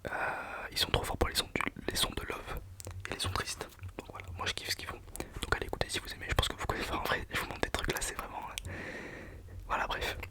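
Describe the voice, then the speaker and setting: A young man whispering a casual, self-mocking countdown of his favourite songs for his channel. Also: fast talker. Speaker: channel host. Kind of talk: whispered ASMR music countdown.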